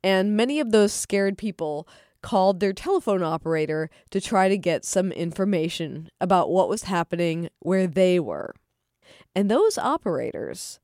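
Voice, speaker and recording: A woman giving a scripted podcast introduction as host, in a measured storytelling manner. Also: mid-range voice, darkish tone, dry recording, clean studio sound.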